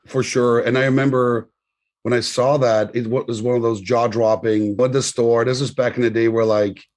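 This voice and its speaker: A man's voice: medium pitch, level tone, moderate pace, darkish tone. A man in his forties, originally from Poland and raised in Germany, talking as a guest in a loose, conversational way with frequent restarts. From born in Poland, grew up in Germany. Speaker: guest, tech company CEO. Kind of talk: podcast interview.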